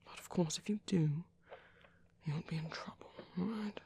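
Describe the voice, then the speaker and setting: A man voicing a gentle, caring vampire priest in an ASMR roleplay, speaking in a soft, breathy whisper with unhurried, hesitant pauses. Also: high voice, a little hiss or hum. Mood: tender, careful. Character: gentle, caring male vampire priest comforting a little one. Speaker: ASMR performer.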